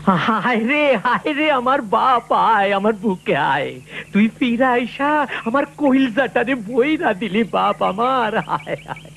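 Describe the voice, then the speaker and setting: A woman's voice: a little high, animated, slow pace, creaky, a bit bright, faint music behind, heavily acted. A woman voicing a doting, bossy older village parent in a cartoon, gushing and wheedling, with a rural Bangladeshi accent. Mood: overjoyed, emotional. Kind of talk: cartoon voice acting.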